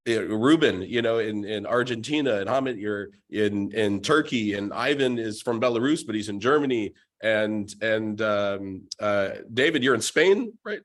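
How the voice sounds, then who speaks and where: Man host, low voice, rambling video-call chat, lots of fillers. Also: animated, normal speed, hardly any pauses. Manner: rambling, many "you know" fillers. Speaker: meeting host. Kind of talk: casual group call chat.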